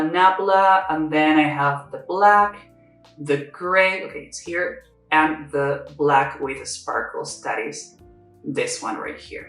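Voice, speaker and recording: Young man, Spanish accent, casual step-by-step makeup talk, roomy echo, faint background music. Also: a little high. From Spain. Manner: chatty, explains step by step, self-correcting. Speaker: makeup vlogger. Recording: echoey room, somewhat far from mic. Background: soft music.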